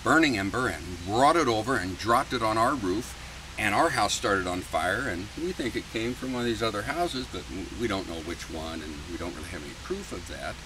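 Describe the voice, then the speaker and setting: A man's voice: animated, a little fast, natural voice. A man acting out a smug, evasive neighbor, distant mic. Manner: self-satisfied, falsely sympathetic, folksy. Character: smug, evasive neighbor faking concern and boasting. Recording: noisy, distant mic with background rumble.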